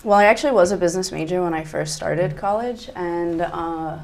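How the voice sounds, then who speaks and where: A woman's voice: lowish voice, brisk, a little mellow. A woman in her twenties from Egypt, talking earnestly and enthusiastically as a guest in a casual talk show conversation. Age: 20s.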